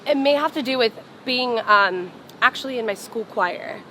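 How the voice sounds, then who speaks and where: A woman's voice: fairly high pitch, moderate pace, sharp tone. Chatty young woman on a street. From Los Angeles, US. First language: Farsi. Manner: chatty, full of "like" fillers, laughs often. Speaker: singer, interview guest. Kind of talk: casual interview chat. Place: outdoors on a sidewalk. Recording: street noise behind.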